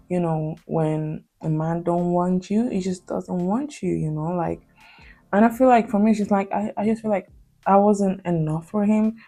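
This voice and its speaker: A woman's voice: on the low side, dark tone. A young woman from Spain, a Spanish native speaker with a Spanish accent, talking through a personal story in a hesitant, filler-heavy casual vlog style. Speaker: vlogger. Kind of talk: confessional casual vlog talk.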